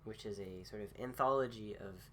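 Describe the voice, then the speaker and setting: A man recovering from a cold gives a casual philosophy talk with many pauses, fillers and restarts. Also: fairly low pitch, a little hiss or hum. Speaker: host.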